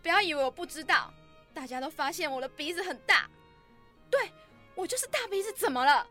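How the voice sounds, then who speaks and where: A woman's voice: extremely high pitch, expressive, a little fast, pushed and bright, heavily acted. A woman playing an insecure, defensive college freshman in a radio drama, with music behind her. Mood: accusing, angry.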